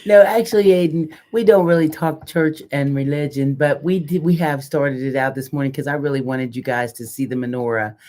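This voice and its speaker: A woman's voice: low-pitched, fairly quick, few pauses, warm tone. An older woman co-hosting a casual live chat, talking in a folksy, colloquial and emphatic way. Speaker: co-host of a live community chat show.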